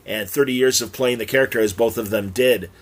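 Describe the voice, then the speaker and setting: A man hosting a podcast, talking off the cuff in a halting way with many fillers. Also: mid-range voice. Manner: halting, filler-heavy delivery. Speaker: podcast host. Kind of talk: unscripted hosting talk.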